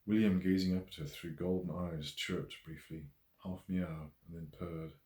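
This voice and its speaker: A man reading a novel as its author in a measured, literary way with expressive emphasis. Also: low-pitched. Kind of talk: scripted audiobook narration. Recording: roomy, echoey voice recording.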